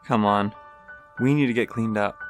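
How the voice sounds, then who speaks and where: A man voicing a protective, playful young male pony, a big brother, with expressive theatrical delivery. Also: fairly low pitch, normal speed, reverberant, soft background music, natural voice. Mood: fond, instructing.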